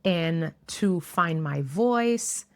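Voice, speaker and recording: A woman's voice: fairly low pitch, expressive, extremely slow. A woman in her fifties, for whom English is not a first language, telling a personal story to camera in an earnest, reflective way with light laughs. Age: 50s. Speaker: YouTuber.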